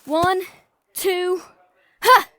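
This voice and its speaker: A woman's voice: extremely high pitch, extremely slow, noisy background, heavily acted. A woman playing a brash, brave, wry treasure hunter in an audio roleplay, bantering informally with the listener. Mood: urgent, panicked. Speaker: voice actor.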